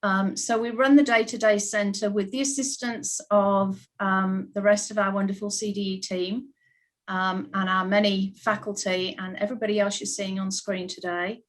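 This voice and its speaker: A woman's voice: mid-range voice, level tone, normal speed. A middle-aged woman from the UK with a British accent, introducing herself on a video call in a chatty way with many ums. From United Kingdom. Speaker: ballet school centre principal.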